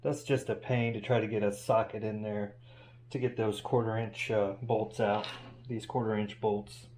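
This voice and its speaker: A man's voice: lowish voice, moderate pace. A man talking casually and thinking aloud as he hosts a hands-on DIY workshop demonstration. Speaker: DIY audio channel host. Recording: steady background noise and hum.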